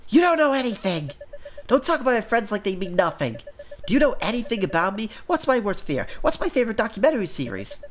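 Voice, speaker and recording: Man voicing a high-pitched indignant teenage girl. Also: big pitch swings, fast talker, a little hiss or hum. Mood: angry, hurt. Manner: earnest, indignant. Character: studious, defensive, exasperated teenage daughter.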